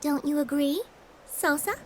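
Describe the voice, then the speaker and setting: An actress playing a composed young guardian in a game cutscene, speaking firmly and calmly. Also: female voice, high-pitched, noisy background. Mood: calm bluff. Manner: calm, firm, measured. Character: calm, composed girl guardian. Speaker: forest guardian. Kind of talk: video game cutscene acting.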